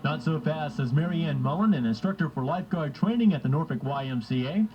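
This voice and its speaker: A man's voice: fairly high pitch, expressive, fast talker, a little hiss or hum. A man working as a radio reporter, reading scripted news and sports in an old lo-fi radio recording. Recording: dull lo-fi old radio aircheck.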